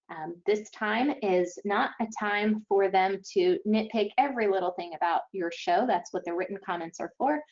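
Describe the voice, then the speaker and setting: A woman giving an explanatory webinar briefing as an event organizer, speaking haltingly with frequent pauses. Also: mid-range voice, darkish tone. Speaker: event organizer presenting to schools. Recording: dull, lo-fi online webinar audio.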